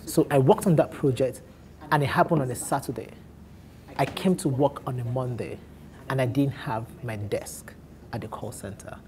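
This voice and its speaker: A man in his thirties from Nigeria with an Igbo accent, telling his life story candidly on a talk show, animated and often laughing. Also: medium pitch. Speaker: guest on a men's talk show.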